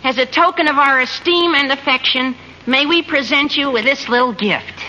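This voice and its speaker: A woman's voice: high-pitched, moderate pace, bright, forceful tone. An actress playing a polite, genteel married lady with a formal manner in a 1940s radio comedy. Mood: gracious. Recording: hissy muffled old radio recording.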